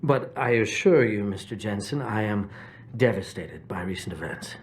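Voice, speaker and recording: An actor playing a self-important political activist leader in a video game, polite, measured and oratorical. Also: male voice, on the low side, expressive, slight background noise, lightly acted. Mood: earnest. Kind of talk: video game cutscene acting.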